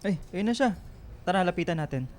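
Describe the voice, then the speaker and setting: A man's voice: sits high, animated, brisk, darkish tone. A man playing an affectionate young boyfriend in radio drama dialogue, with music behind him. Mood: reassuring. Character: Luigi, affectionate young boyfriend with a client job.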